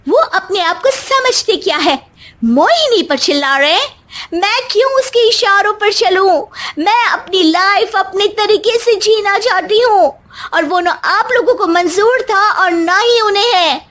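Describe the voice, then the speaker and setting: A woman's voice: very high-pitched, animated, unhurried, fairly bright tone, voice pushed up, full character voice. A woman voicing a spoiled, brazen young modern daughter-in-law in a cartoon story, flippant and mixing English words in. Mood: angry, defiant. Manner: flippant, mixes English into Hindi. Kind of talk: animated cartoon story dialogue.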